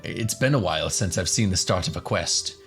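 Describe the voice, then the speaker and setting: Kindly old male professor played by a male actor, low-voiced, drowsy and polite, fantasy audio drama, music underneath. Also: lively intonation, fairly quick, a bit bright. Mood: tired, encouraging. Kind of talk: fantasy radio drama acting.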